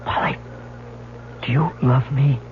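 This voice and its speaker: An actor playing an earnest, penniless young painter in love, in an old-time radio drama. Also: male voice, medium pitch, fairly even intonation, slow pace, lightly acted. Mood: nervous, urgent. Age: young adult. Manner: stammering when nervous. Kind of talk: old-time radio drama acting, also narrating his story. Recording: muffled, noisy old radio recording.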